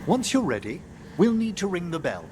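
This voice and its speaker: An actor playing a courteous, scholarly, melancholy older necromancer mage in a video game, speaking formally and theatrically. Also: male voice, high-pitched, sing-song, moderate pace, noisy background. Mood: warmly enthusiastic.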